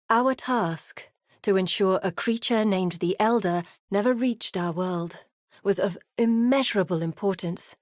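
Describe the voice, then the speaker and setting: Video game role: actress as earnest woman expedition leader, weary and grave, articulate delivery, mid-range pitch, close dry recording. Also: darkish tone, no room sound, lightly acted. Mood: solemn. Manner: measured, grave, articulate storytelling. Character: weary, earnest woman explorer leading exiled warriors. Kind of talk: scripted video game voice acting.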